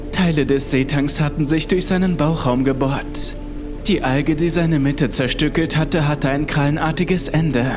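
A man reading a scripted first-person horror story as its narrator. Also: fairly high pitch. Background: music.

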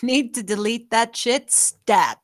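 Woman acting a tough mother, highish and dry. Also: slow, no room sound. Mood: scolding. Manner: stern, blunt, guarded. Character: tough, streetwise mother leading a crew. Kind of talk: script table read.

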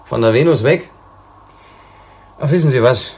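An actor playing a blunt, defiant workers' strike leader in a sci-fi radio play, with a gruff, husky delivery. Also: male voice, medium pitch, a little slow, a little mellow. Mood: defiant, decided. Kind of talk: German sci-fi radio drama acting.

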